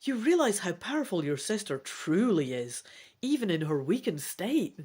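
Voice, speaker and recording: Theatrical woman, very lively delivery. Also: medium pitch, moderate pace, breathy edge. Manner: theatrical, expressive. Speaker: gamebook reader and player. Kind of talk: dramatic narration with casual play commentary.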